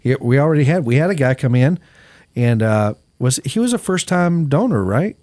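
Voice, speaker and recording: A man's voice: medium pitch, expressive, normal speed, a little mellow, no echo. A man hosting a radio fundraiser in the studio, talking casually and rambling with many fillers. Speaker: radio host. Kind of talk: casual on-air fundraiser chat. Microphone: studio mic.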